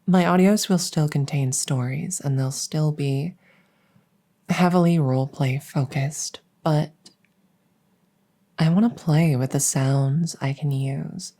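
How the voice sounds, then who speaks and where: A woman speaking gently in a soft ASMR style, close to the mic, sometimes dropping into a whisper. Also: low-pitched, fairly even intonation, takes it slowly. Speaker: audio roleplay creator. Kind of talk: soft-spoken ASMR introduction. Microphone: close stereo condenser mic.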